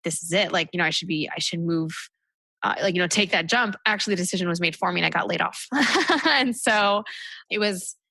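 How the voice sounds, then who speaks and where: A woman's voice: lowish voice, a little fast, gravelly, bright, forceful tone, slight background noise. A woman from the United States, a stand-up comic guest, talking in a casual interview, chatty and full of "like" and "you know" fillers.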